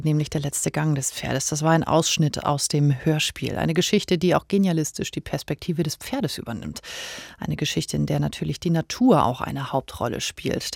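A woman's voice: deep voice, gravelly, darkish tone, no room sound. A woman hosting a scripted cultural radio programme, recorded close in a studio. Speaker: radio host.

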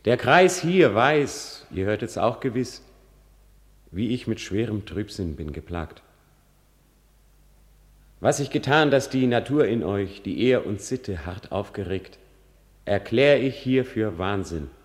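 An actor playing a melancholy, defiant young prince in a radio tragedy, theatrical, moving between brooding and fierce outcry. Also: male voice, lowish voice, lively intonation, takes it slowly, long pauses, in a large hall. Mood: solemn, pleading. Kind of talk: classic radio play acting of stage tragedy.

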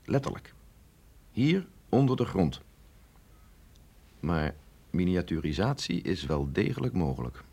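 Radio play scientist, middle-aged sceptic with a husky voice, lively pitch swings, measured and insistent. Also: male voice, heavily acted. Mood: authoritative, explaining. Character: sceptical, authoritative male scientist briefing an agent, no longer young. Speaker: scientist doctor. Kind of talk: radio drama acting.

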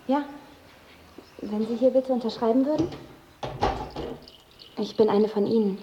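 A woman's voice: a little high, unhurried, lightly acted. An actress playing an eager, confiding female hypochondriac colleague in a naturalistic radio drama, chatty and forthright. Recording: roomy, dull sound with background noise.

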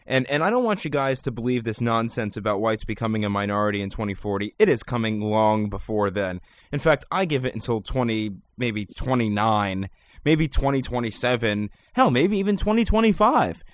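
A man's voice: medium pitch, animated, brisk. A man hosting a talk show, speaking with an emphatic, declarative delivery. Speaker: podcast host.

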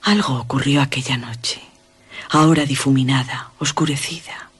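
Whispery young woman, very breathy, languid, enigmatic, cruelly loving, Castilian accent, radio drama. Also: rumbling low, moderate pace, soft background music, heavily acted. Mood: haunted, distant. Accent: Castilian Spanish.

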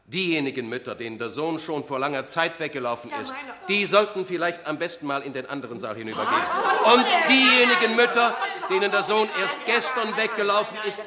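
Man playing a harried official, high-pitched, over crowd clamour. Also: lively intonation, fairly quick, close to an everyday voice. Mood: explanatory, measured. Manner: formal, insistent, repeatedly calling for quiet. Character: harried male official marshalling an unruly crowd of mothers. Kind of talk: 1970s German radio play acting. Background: noisy crowd in a hall.

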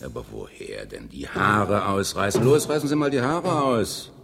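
A man's voice: lowish voice, lively intonation, moderate pace, creaky, noisy background. An actor playing a bossy, impatient man in an experimental radio play, with a brusque and erratic delivery. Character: bossy, impatient man barking gruesome instructions. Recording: long reverb, music behind.

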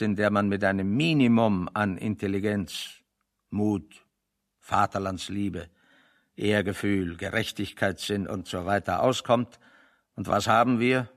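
An actor playing a weary, eloquent refugee intellectual in a radio play, speaking in a deliberate, rhetorical and dryly ironic way. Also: male voice, on the low side. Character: weary, eloquent male refugee intellectual, sick of being virtuous. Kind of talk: 1970 German radio play dialogue.

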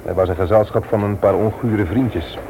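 An actor playing a stern, indignant brother-in-law in a Dutch radio play, emphatic and threatening when crossed. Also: male voice, lowish voice, normal speed, dark tone, lightly acted. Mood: grave, indignant. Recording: very noisy old radio play recording.

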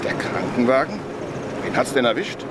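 An actor playing a brisk, polite, inquisitive police superintendent in a German radio crime play. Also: male voice, medium pitch, very animated, lightly acted. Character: male police superintendent questioning people on a night road. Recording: clear vehicle noise throughout.

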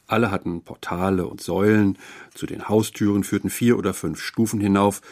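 A man reading a literary short story as a narrator, with measured, carefully articulated delivery. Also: lowish voice, steady tone, a little mellow. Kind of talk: scripted literary audiobook narration.

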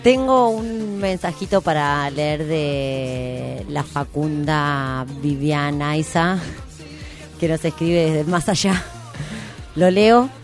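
Argentine woman doing casual radio hosting, kinda low voice, playful, bit of music under her. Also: slow. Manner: playful, informal. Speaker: radio show host. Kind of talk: casual live radio chat.

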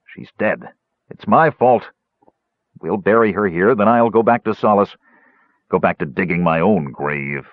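A man's voice: low voice, a little slow, long pauses, no echo, phone-quality sound. A man voicing a big, grief-stricken male warrior who speaks bluntly and heavily. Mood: stubborn.

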